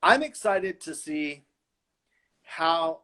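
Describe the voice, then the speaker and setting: A man's voice: on the high side, animated, extremely slow, pushed and bright. A middle-aged man from Los Angeles, a designer chatting playfully from his home and laughing often. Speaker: fashion designer guest. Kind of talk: casual video-call chat.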